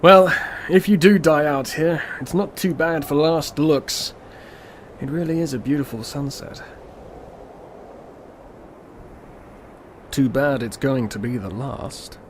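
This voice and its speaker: An actor playing a calm, smug, world-ending destroyer in radio drama style, unhurried, menacing and condescending. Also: male voice, on the high side, a little hiss or hum, natural voice. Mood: musing, mocking. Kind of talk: old-school radio drama acting.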